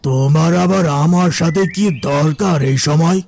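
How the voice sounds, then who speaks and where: A man's voice: on the high side, moderate pace, vocal fry, darkish tone, slight background noise. A man voicing a stingy, scheming village moneylender in a cartoon folk tale, curt, scornful and bossy. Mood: dismissive. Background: music.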